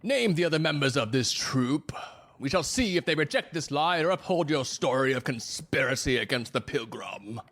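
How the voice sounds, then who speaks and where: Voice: medium pitch, expressive, normal speed, fairly bright tone. An actor playing a menacing, pompous first mate in an audio drama, speaking in antiquated, intimidating language. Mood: threatening. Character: menacing, archaic-talking first mate, fanatical method actor. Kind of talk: theatrical audio drama acting.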